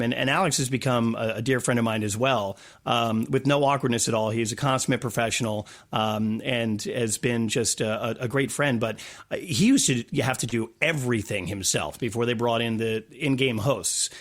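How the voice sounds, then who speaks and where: A man's voice: mid-range voice, a bit bright. A man talking as an interview guest, telling stories casually with a playful, jokey manner. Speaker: guest, stadium PA announcer. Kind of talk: casual storytelling interview talk.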